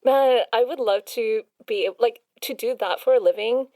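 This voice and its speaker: A young woman with a chatty American accent softened by some Australian vowels, talking freely as a podcast guest and peppering every thought with "like". Her voice sits mid-range and sounds close and dry, with little room around it. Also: on the high side, normal speed, no echo. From Long Island, New York, USA. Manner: chatty, heavy use of "like". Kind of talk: casual chat.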